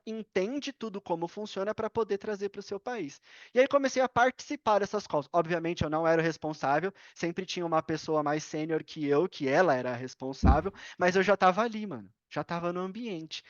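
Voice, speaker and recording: A man's voice: fairly high pitch, no room sound. Dry-sounding Brazilian man telling stories. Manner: informal, slangy, animated retelling with acted-out dialogue. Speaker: podcast guest. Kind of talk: casual personal storytelling. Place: indoors at a computer on a video call. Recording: slightly dull, dry online-call audio.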